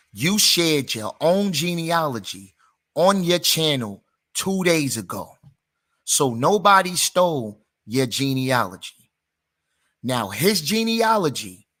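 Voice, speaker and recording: A man hosting his channel, giving commentary in a slow, emphatic, drawn-out way. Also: medium pitch, a bit bright. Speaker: channel host.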